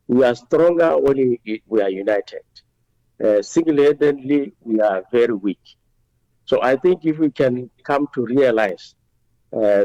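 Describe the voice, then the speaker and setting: A man with a Botswanan accent, answering as a politician in an interview in a halting, deliberate way, with many hesitations. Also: mid-range voice, dark tone, no echo, muffled recording. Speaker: member of parliament being interviewed.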